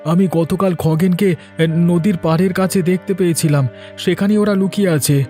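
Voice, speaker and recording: A man's voice: high-pitched, fairly even intonation, normal speed, dark tone, natural voice. A man voicing an anxious, guilt-ridden village man in a fairy tale cartoon. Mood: agitated, urgent. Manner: agitated, stammering when alarmed. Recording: voice over background music.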